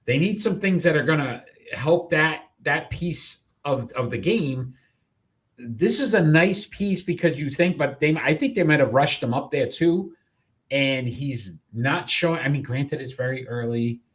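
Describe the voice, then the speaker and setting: Chatty Rhode Island sports host. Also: male voice, a little high, animated, a little slow. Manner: chatty, trailing off and restarting. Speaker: sports talk show host. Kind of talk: live sports talk show chat.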